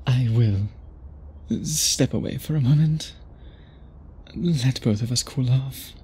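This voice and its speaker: A man playing an obsessed, tormented vampire suitor in an intimate ASMR roleplay, speaking formally and haltingly in a breathy, husky voice. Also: medium pitch, mellow. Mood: apologetic, restrained. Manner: formal, theatrical, halting speech with dramatic pauses.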